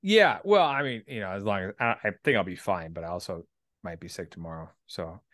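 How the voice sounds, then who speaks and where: A man's voice: low voice, expressive, fairly quick, fairly bright tone, dry recording, studio quality. A man hosting a podcast in casual chat, rambling hesitantly with a self-deprecating streak. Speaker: podcast host.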